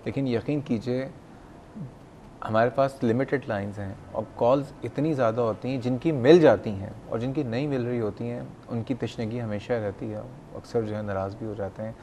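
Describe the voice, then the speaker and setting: A man's voice: mid-range voice, moderate pace, mellow. Pakistani man hosting, noisy background. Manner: courteous, chatty. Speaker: host of a TV health call-in show. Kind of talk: conversational live TV hosting. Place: TV studio at a desk. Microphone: lapel mic. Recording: clear background noise.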